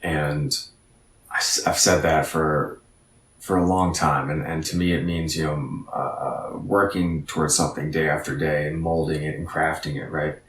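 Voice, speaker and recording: A man's voice: very deep voice, fairly even intonation, moderate pace, fairly bright tone, reverberant, far from the mic. A man in his thirties giving an earnest, reflective motivational talk as a podcast guest, often repeating words as he thinks. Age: 30s.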